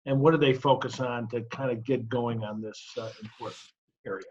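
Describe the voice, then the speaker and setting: A man's voice: medium pitch, fairly even intonation, brisk, dull audio. A middle-aged man hosting a conversational interview, speaking in short phrases and sharing personal examples. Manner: breaks points into short phrases, uses personal anecdotes. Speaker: interview host.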